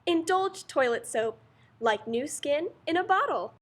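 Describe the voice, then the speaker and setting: A woman plays a young wife from an old radio soap advert. Her voice is extremely high and girlish. The delivery is scripted and gushing. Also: expressive, unhurried. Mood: delighted.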